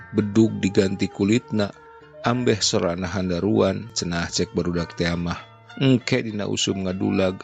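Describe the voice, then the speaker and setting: A man reads a classic folk tale at a steady, measured pace. His voice is low and gravelly with plenty of fry. Music plays clearly underneath. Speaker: storyteller.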